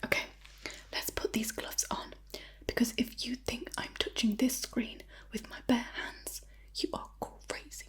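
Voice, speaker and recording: A woman whispering breathily in an ASMR roleplay, with a fussy, deliberate, mock-scolding manner. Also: fairly high pitch, level tone, a bit bright, slightly rough. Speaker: ASMR creator playing a screen cleaner.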